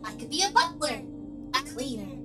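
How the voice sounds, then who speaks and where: Woman doing a squeaky lil robot servant, super eager n polite, glitchy, game voice, music under it. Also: animated, brisk, close to an everyday voice. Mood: proud, glitchy. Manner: stuttering, servile. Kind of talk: visual novel game voice acting.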